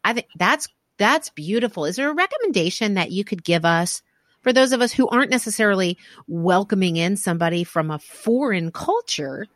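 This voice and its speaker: A woman's voice: mid-range voice, animated, fairly quick, dry recording, studio quality. A woman hosting a podcast interview, talking in a reflective, affirming way, with frequent fillers and restarts. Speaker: podcast host. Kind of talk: conversational interview.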